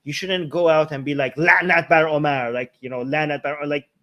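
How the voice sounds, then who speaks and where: Male talk host, fairly high register, informal explainer who often checks the listener is following. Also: steady tone, a little fast, pushed and bright, muffled recording. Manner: informal, checks in with "okay" and "right". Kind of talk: casual explanatory discussion.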